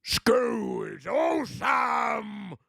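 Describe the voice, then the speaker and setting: A man voicing an over-excited, friendly young boy shark for a children's storybook, gravelly and loud, with drawn-out exclamations. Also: extremely high pitch, voice pushed up, heavily acted. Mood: exuberant shouting. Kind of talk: children's storybook read aloud.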